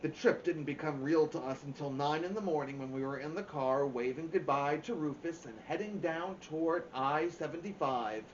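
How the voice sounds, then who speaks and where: A man's voice: on the high side, normal speed, few pauses. A man reading a children's novel aloud as a storyteller. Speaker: story-time reader. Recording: roomy, dull, faint background noise.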